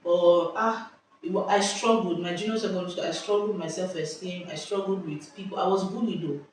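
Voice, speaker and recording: A young woman with a Nigerian accent tells a story in an animated, exclamatory way, cutting herself off and restarting. Her voice bounces around a very echoey room. She sits some way from the mic, and a faint hiss runs underneath. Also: on the low side, brisk, far from the mic. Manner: lively, exclamatory, self-interrupting. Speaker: vlogger. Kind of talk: casual storytelling chat. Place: indoors at home.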